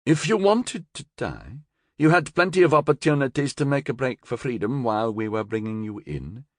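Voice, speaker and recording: A man's voice: mid-range voice, lively intonation, moderate pace, dry recording, studio quality, pitched above the performer's own voice, lightly acted. A man voicing a cool, blunt female security director who talks in a clipped, firm, matter-of-fact way.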